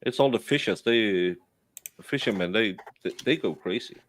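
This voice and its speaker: A man's voice: on the low side, animated, normal speed, a little hiss or hum. A man from Denmark, chatting on a casual stream and telling rambling stories full of restarts and fillers. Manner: rambling storyteller with many restarts.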